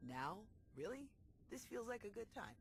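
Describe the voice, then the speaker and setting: A battle-hardened female Jedi in a game cutscene, with clear noise around the voice. She is dry and blunt, carrying a weary sardonic edge. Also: a little high, very animated, normal speed. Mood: sardonic, irritated. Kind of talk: video game cutscene acting.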